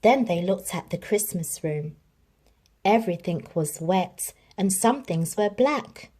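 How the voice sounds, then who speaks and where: A woman reading a children's story aloud in an expressive, dramatic storytelling manner. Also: mid-range voice, takes it slowly. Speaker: storybook reader.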